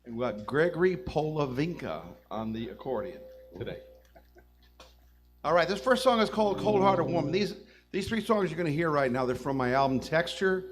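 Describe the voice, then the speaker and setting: A man's voice: a little high, big pitch swings, normal speed. Man from Florida, bandleader at a live gig, casual introductions of players and songs. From Florida, United States. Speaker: singer and bandleader. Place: live music show on a stage.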